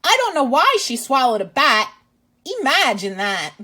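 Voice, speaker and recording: A woman's voice: high voice, very animated, normal speed, bright, forceful tone. A woman reading a storybook aloud to young children as a teacher and host, with exaggerated expression and asides to the listener.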